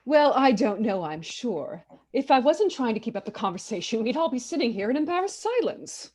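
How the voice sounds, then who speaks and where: A woman's voice: on the high side, sing-song, a little mellow, husky. A woman playing a nervous, chatty politician's wife who gushes in a flustered way in a comedy table read. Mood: persistent, prim. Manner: gushing, flustered, slightly hysterical rapid chatter. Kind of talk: live table read of a comic film script.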